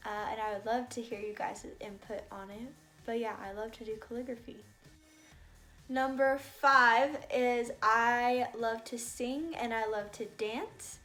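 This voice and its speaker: A woman's voice: a little high, steady tone, a little slow, sharp tone, faint music behind. A bubbly, chatty young woman in her twenties with an American accent, talking casually to camera on her vlog. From United States. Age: young adult. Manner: bubbly, chatty, laughs often. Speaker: vlogger.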